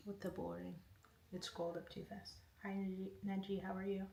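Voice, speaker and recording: Woman livestream host, relaxed chatty tone, roomy echo, faint background hiss. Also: medium pitch, a little fast, gravelly. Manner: reads out viewer comments and answers each one. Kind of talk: casual livestream chat. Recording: echoey room, faint noise.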